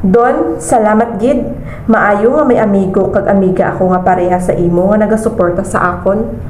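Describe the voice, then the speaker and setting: A woman's voice: medium pitch, normal speed, darkish tone, close to an everyday voice. A woman playing a self-reliant young career woman in an amateur student radio drama. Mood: warm, grateful. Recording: echoey room, clear background noise.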